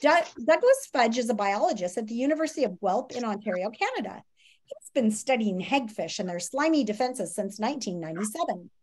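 A woman in her fifties reading a children's nonfiction book aloud in a dramatic, teacherly way with emphatic pauses, on a video call from a room at home. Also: on the high side, big pitch swings, darkish tone, slight background noise. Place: small home room, indoors. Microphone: video call, computer microphone.

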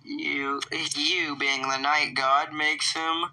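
A man's voice: fairly high pitch, level tone, takes it slowly, noisy background. A man playing a menacing, taunting monster villain in an improvised video game roleplay. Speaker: roleplay video creator voicing characters.

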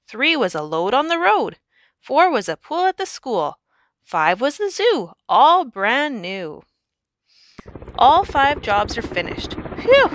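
Lively woman reading a children's book. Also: on the high side, no echo. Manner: animated storyteller delivery with emphatic refrains. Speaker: read-aloud narrator. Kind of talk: expressive children's picture book read-aloud.

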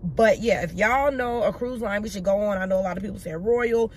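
A woman's voice: mid-range voice, fairly quick, a little hiss or hum. A young woman vlogger, chatting casually to her viewers and calling them y'all. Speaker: lifestyle vlogger. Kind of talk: casual chat to camera. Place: home, then shopping in a store.

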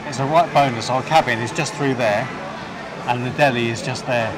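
British man in his thirties, chatty vlogger, mid-range voice, arm's-length camera mic, steady hum of ship noise behind. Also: mid-range voice, moderate pace. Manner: chatty, upbeat, filler-heavy. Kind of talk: casual vlog chat. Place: cruise ship deck and indoor atrium. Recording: noisy ship ambience.

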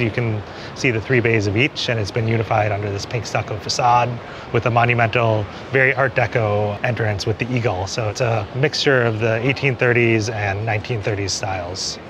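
A man in his forties, an architect, giving an on-camera walking tour outdoors, explaining buildings in a conversational way with many small hedges. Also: mid-range voice. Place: outdoors on a city street. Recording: city street noise behind.

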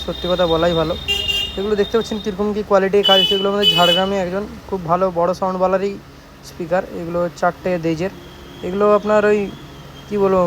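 Fast, high-pitched man, casual vlog. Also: noisy background. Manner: repeats himself, often tags statements with check-in questions. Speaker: speaker maker presenting shop's work. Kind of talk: casual product showcase vlog.

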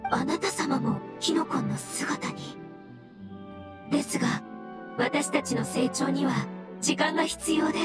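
High-voiced solemn mushroom spirit. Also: female voice, a little slow, pauses often. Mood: serious, cautious. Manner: formal, polite, earnest. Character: gentle solemn floating mushroom spirit, earnest and courteous. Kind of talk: Japanese anime game voice acting. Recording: game music behind.

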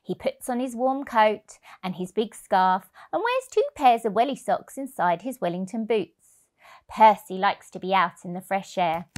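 A woman in her thirties narrating a picture book for young children, expressively and deliberately. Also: mid-range voice, animated, darkish tone. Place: indoor room, on a sofa. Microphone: lapel mic.

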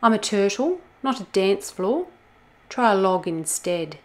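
A woman's voice: mid-range voice, very animated, unhurried. Woman as a grumpy little turtle. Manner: curt, indignant. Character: small, grumpy female long-necked turtle. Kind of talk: storybook character voice in a read-aloud.

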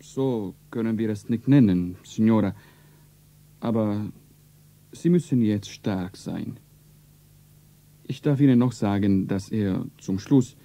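An actor playing a small grey-haired foreign doctor in a radio drama, grave, halting and formal, with an Italian accent. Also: male voice, lowish voice, warm tone.

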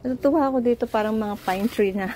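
A woman's voice: on the high side, fast talker, mellow. A Filipino woman chatting casually as she films in a rooftop garden outdoors. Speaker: home gardener filming a vlog. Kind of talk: casual chat. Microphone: handheld phone.

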